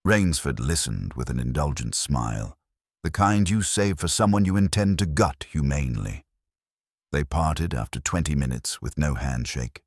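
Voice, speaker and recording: A man's voice: very deep voice, lively intonation, moderate pace, a little mellow, no room sound, studio quality. A man reading a first-person detective story as a scripted narration, with a wry, hardboiled delivery. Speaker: audiobook narrator.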